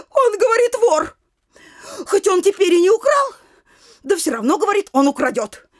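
Shrill townswoman petitioner, wails and curses nonstop, very theatrical audio play. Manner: loud tearful complaining, piling up curses. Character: wailing, cursing locksmith's wife, a townswoman petitioner. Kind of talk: theatrical audio drama comedy acting.

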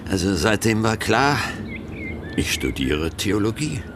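An actor playing a zealous, self-satisfied pastor in a radio drama, confiding his account in a halting, theatrically pious way, with some background noise. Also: fairly low pitch, sing-song. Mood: fervent. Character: zealous, self-satisfied male pastor recounting divine calling.

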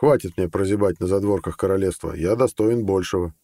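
A man's voice: low-pitched, fairly quick, warm tone, dry recording, studio quality. A man voicing a blunt, ambitious army colonel, plain-spoken and earnest, in an audiobook. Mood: firm, conciliatory. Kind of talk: audiobook character dialogue.

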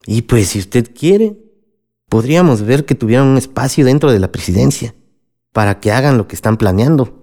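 A man's voice: medium pitch, animated, moderate pace, mellow, natural voice. A Mexican man playing a scheming, condescending small-town mayor in a radio soap opera, speaking with insinuation. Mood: smooth persuasion. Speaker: radio drama actor.

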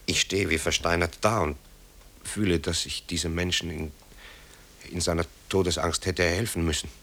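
An actor playing a tormented grown son, a composer haunted by wartime guilt, in a grave, halting radio drama delivery. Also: male voice, sits low, fairly even intonation, fairly bright tone. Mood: anguished, urgent. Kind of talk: German radio drama acting.

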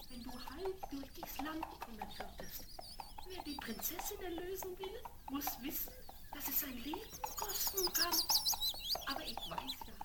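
Little helper animal, super high kind voice, bit distant, old kids radio play. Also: female voice. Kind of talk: 1970s children's fairy-tale radio play. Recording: very noisy vinyl, slightly distant.

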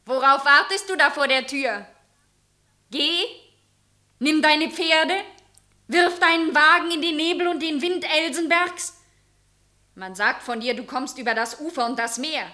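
A woman's voice: sits high, measured pace, pauses often, bright, forceful tone. An actress playing a passionate, defiant wife who clings to her husband, fervent and emphatic in radio play style. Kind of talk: German radio play acting.

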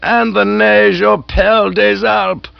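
A man putting on a booming bossy older aunt. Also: flat delivery, slow, vocal fry, no room sound, exaggerated voice. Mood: enthusiastic. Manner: loud, emphatic, bossy. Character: booming hearty older aunt, loud and blunt. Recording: dull, dry recording.

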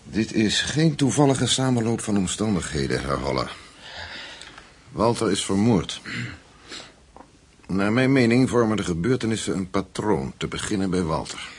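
An actor playing a brisk, suspicious American intelligence agent in a Dutch radio play, blunt and probing in his talk. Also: fairly low pitch, sing-song, breathy edge. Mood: suspicious, insistent.

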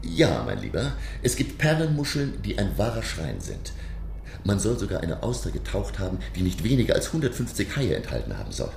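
An actor playing a learned French naturalist professor who narrates a sea voyage in a radio play, measured and reflective. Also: male voice, fairly low pitch, wildly swooping pitch, creaky, a little mellow, slight background noise, full character voice. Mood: learned, explaining.

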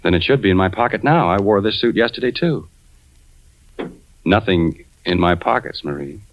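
Troubled low-voiced husband, muffled old radio. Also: male voice, expressive, moderate pace. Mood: probing. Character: suspicious, troubled husband and father, an insurance investigator. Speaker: actor and first-person narrator in a radio thriller. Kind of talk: 1940s radio thriller acting. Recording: muffled 1940s radio broadcast, music behind.

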